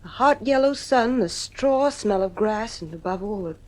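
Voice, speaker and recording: A woman's voice: mid-range voice, expressive, normal speed, darkish tone, close to an everyday voice, low-quality recording. Woman acting an anxious, fretful wife in radio drama. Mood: troubled, intense. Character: anxious, fretful wife and mother, weary of her children. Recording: vintage radio drama recording.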